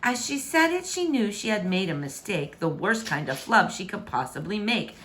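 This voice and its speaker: A woman reading rhyming children's fiction aloud in an expressive, theatrical, emphatic way. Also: medium pitch, normal speed, slight background noise. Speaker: storybook reader. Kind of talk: animated, rhyming children's story narration.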